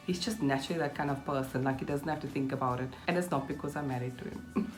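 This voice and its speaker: Casting: woman, thirties, Cape Town accent, low register, informal question-and-answer vlog delivery over background music. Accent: South African, Cape Town. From Cape Town, South Africa. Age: 30s. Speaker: YouTube vlogger. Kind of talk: casual chat to camera, question-and-answer.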